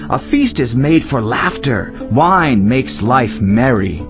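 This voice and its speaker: Solemn biblical sage, muffled. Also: male voice, mid-range voice, big pitch swings, slow pace. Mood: solemn, admonishing. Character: solemn male Teacher, wise biblical sage reflecting on life. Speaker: scripture reader. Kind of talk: dramatized scripture reading. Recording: dull lo-fi audio over music.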